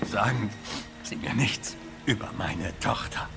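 An actor playing a gruff, desperate middle-aged father in an audio drama, emotional and halting as he guards his sick child. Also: on the low side, over loud music. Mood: hostile, threatening. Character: desperate, grieving gun shop father guarding his infected daughter.

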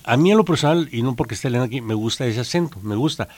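A man's voice: medium pitch, expressive, racing, dry recording. A man with a Mexican accent co-hosting a radio show, telling rambling stories in casual chat. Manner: chatty, rambling storyteller. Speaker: radio co-host.

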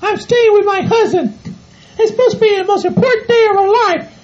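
A woman's voice: very high-pitched, brisk, darkish tone. A woman voicing a frantic ranch wife who wails and pleads in panic. The recording sounds dull and lo-fi. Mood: distraught. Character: frantic ranch wife, fussing over husband. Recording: dull lo-fi audio.

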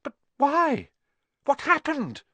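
A man's voice: sits high, wildly swooping pitch, unhurried, creaky, dry recording, pitched above the performer's own voice, exaggerated voice. A man voicing an astonished, earnest young boy who asks short, urgent questions in an audiobook story. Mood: urgent, shocked.

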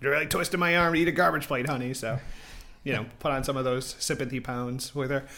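A man's voice: medium pitch, steady tone, quick pace, vocal fry, pushed and bright, slight background noise. A man of thirty-eight from Rochester with an American accent, telling anecdotes as a guest in a chatty, informal podcast conversation. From Rochester, New York, United States. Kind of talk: casual anecdotal podcast chat.

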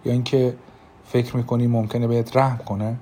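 A man voicing a scheming male demon prince who talks quietly and sarcastically, in an audiobook. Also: mid-range voice, level tone, a little hiss or hum, close to an everyday voice. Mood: sarcastic.

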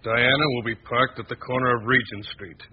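Husky, confident radio crook. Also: male voice, medium pitch, steady tone, a little fast. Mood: cool, assured. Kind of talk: 1940s radio drama acting. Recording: muffled old 1940s radio recording.

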